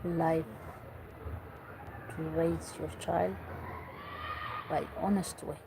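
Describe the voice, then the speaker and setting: Earnest woman, low voice. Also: fairly even intonation, very slow, creaky, noisy background. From Eritrea. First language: Tigrinya or other Eritrean language. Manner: earnest, repeats herself for emphasis, non-native English grammar. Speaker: parent giving advice. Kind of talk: earnest talk to camera.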